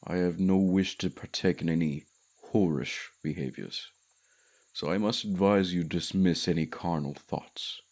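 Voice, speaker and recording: Man voicing a haughty, very deep male dragon. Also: a little slow, darkish tone. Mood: haughty, dismissive. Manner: pompous, condescending, formal diction. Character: haughty male dragon, commanding keeper of a human pet. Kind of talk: scripted ASMR fantasy roleplay.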